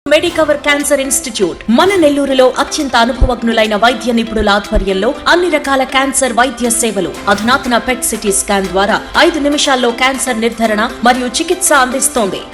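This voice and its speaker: Female commercial narrator, high voice, brisk scripted read over clear music. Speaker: advert voice-over.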